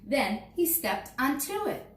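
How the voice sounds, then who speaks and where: In a roomy, echoing space, a woman a little away from the mic reads a children's storybook aloud. Her pitch moves in lively swings as she stresses key words, and she takes her time over each line. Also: unhurried. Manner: expressive, dramatizing key words for young listeners. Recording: roomy echo, distant mic, faint noise.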